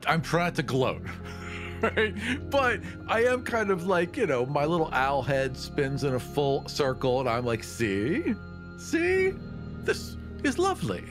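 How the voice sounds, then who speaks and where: A man's voice: fairly high pitch, animated, unhurried, music in the background. A man casually narrating his character's actions and feelings as a player in a tabletop game.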